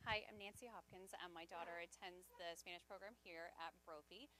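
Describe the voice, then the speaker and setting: A woman talking off the cuff as a parent at a school information session, expressive and lively in her telling. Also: on the low side, fairly quick, a little hiss or hum, low-quality recording. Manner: expressive, animated when recounting worries. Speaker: parent speaking at an info session. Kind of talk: unprepared casual testimonial.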